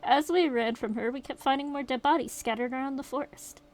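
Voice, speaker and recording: A woman voicing a shaken young survivor, reading the account aloud in a steady, rueful way. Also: high-pitched, fairly quick, close to an everyday voice. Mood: grim retelling. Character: shaken young survivor recounting a horror night.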